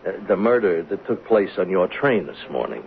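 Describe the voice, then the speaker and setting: An actor playing a blunt, probing police lieutenant in a 1970s radio drama, questioning tersely and insistently. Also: male voice, deep voice, sing-song, moderate pace, gravelly, a little hiss or hum, full character voice. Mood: stern. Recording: narrow, phone-like vintage radio sound.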